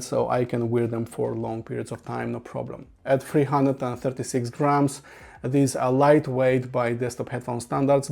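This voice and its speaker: A man's voice: mid-range voice, vocal fry, warm tone. A man from Romania with a Romanian accent, a native Romanian speaker, reviewing headphones in an earnest, methodical voice-over with frequent uh fillers. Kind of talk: scripted product review voice-over.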